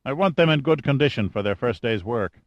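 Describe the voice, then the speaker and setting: A man voicing a calm, cold middle-aged male mine boss who threatens in a matter-of-fact way. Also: medium pitch, no echo, lo-fi sound, voice pushed up, natural voice. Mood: cool, controlled. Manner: measured, matter-of-fact menace.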